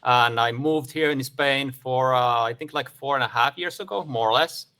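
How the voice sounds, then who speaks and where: A man's voice: medium pitch, moderate pace, pushed and bright, clean tone. A man from Finland giving a casual, upbeat presentation over a video call, with many fillers. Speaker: guest speaker.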